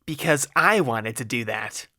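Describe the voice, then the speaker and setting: A man's voice: medium pitch, lively intonation, measured pace, bright, forceful tone, dry recording, studio quality. A man voicing a tough, sardonic woman gunslinger in a story, in a blunt manner. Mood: sardonic, teasing.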